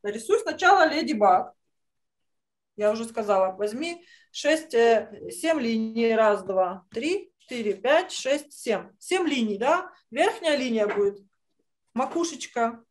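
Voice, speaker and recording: Female art teacher, middle-aged, with a high-pitched voice, giving children encouraging step-by-step drawing instruction over a video call. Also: animated, measured pace. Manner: encouraging, uses affectionate diminutives. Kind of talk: online drawing lesson for children. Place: indoor room, on a video call.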